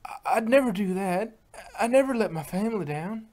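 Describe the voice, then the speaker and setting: A woman's voice: medium pitch, lively intonation, moderate pace, darkish tone, close to an everyday voice. A woman voicing an honest young farm mare, stammering and pleading, in a fan reading.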